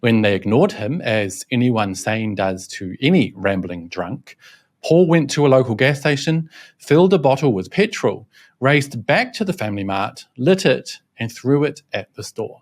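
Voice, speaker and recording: A man's voice: medium pitch, sing-song, normal speed. A young man hosting a scripted news commentary, mocking and scornful in tone. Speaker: host, reporter. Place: Shanghai.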